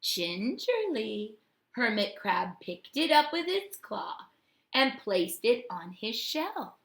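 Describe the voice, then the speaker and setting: A woman teacher giving a read-aloud lesson to young children, speaking in a deliberate, instructive way. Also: fairly high pitch, big pitch swings, fairly bright tone. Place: home kitchen. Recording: echoey domestic room.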